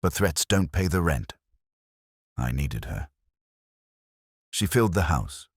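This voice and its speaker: Man playing gruff, deep-voiced theatre producer. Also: sing-song, slow, dry recording, clean studio sound, exaggerated voice. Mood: gruff, exasperated. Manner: barking, blunt. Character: gruff, domineering theatre producer, male cigar-chewer.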